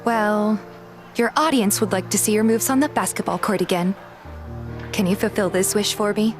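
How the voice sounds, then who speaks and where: A woman's voice: medium pitch, steady tone, normal speed, natural voice. A woman playing a pouty, loyal young heroine who complains playfully in a fan dub of a romance game. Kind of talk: fan dub dialogue with first-person narration. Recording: clear background music.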